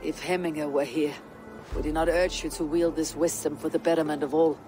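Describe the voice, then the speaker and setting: An actress playing a stoic, thoughtful Viking warrior woman in a video game, husky, grave and measured. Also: low voice, fairly even intonation, dark tone, faint music behind, close to an everyday voice. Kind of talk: video game dramatic acting.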